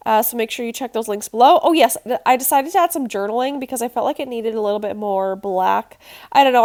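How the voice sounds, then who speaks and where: A young woman with a nasal, congested voice, chatting casually with many ums as she talks through a craft project. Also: fairly high pitch. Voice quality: nasal from allergies. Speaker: scrapbooking channel host.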